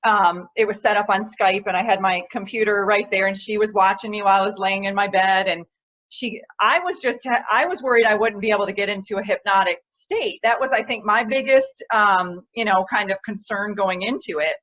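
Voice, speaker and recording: A woman's voice: mid-range voice, a little fast, a bit bright. A woman hosting an interview podcast, eagerly describing her own experience and asking her guest questions. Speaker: podcast host. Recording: dull lo-fi sound.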